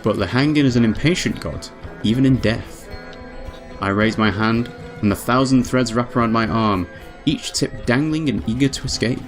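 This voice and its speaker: A man's voice: medium pitch, lightly acted. Male reader as young witch, proud, solemn, ornate dramatic narration, visual novel, music behind. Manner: grave, ornate storytelling. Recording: music underneath.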